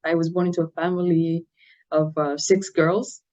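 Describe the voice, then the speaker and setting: A middle-aged woman from Nigeria, a guest talking about her life in an interview, speaking in a thoughtful, measured way with many fillers. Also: sits low, little pitch movement, darkish tone. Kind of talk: interview conversation.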